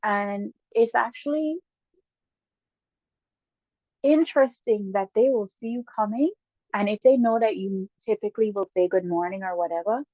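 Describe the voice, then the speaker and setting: A woman with a Guyanese accent, a guest casually telling stories with lively bursts and frequent laughs. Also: medium pitch, no room sound. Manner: lively, laughs often, quick bursts when excited. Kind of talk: casual storytelling chat. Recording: dull lo-fi dry sound.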